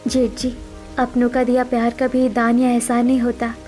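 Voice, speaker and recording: A woman's voice: on the high side, completely flat delivery, moderate pace, warm tone, faint music behind, natural voice. A woman playing a caring, wealthy sister-in-law in a cartoon family drama, affectionate and persuasive. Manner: affectionate, earnest, coaxing. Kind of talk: Hindi cartoon family melodrama.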